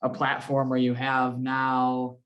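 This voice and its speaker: A man's voice: medium pitch, completely flat delivery, slow, lo-fi sound. A man hosting a casual interview, friendly and loose, with lots of "you know" and "um". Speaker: host.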